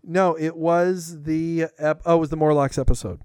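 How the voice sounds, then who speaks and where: A man in his thirties hosting a podcast, chatting casually in excited bursts with lots of restarts. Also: on the high side, moderate pace, clean tone, dry recording. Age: older than co-host, roughly 30s. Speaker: podcast host. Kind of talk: casual chat.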